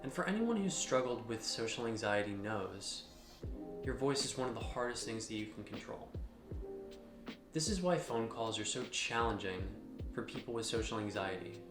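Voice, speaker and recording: A man's voice: medium pitch, lively intonation. Man narrating an explainer over music, roomy echo. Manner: measured, instructional, addressing the listener directly. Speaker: host of a mental health explainer channel. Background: background music.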